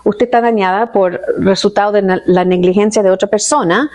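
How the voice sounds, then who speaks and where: A middle-aged woman from California, a personal injury lawyer, calmly explaining legal points in an interview and slipping in English terms. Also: mid-range voice, fairly quick. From California, United States. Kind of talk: interview answers.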